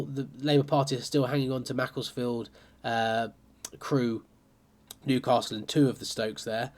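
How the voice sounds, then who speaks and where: Man with a cold, chatty commentary. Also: mid-range voice. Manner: chatty and conversational, with frequent fillers. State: bunged up with a cold. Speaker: political commentator. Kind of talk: casual analysis talking over a map.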